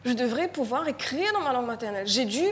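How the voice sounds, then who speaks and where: Hesitant Mauritian woman, slightly high voice. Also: expressive, fast talker, slight background noise. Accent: Mauritian French. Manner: hesitant, often restarting and repeating words. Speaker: writer on a panel. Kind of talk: reflective talk at a live conference.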